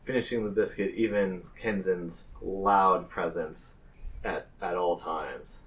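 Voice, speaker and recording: A man improvising narration as game master of a tabletop game, pausing hesitantly mid-phrase. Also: low voice, steady tone, fairly bright tone, distant mic.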